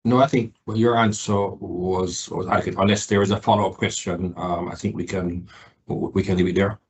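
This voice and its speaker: Low-voiced man, measured corporate executive. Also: fairly even intonation. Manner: measured, hesitant, formal corporate phrasing. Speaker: company executive. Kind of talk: answering questions on an earnings call.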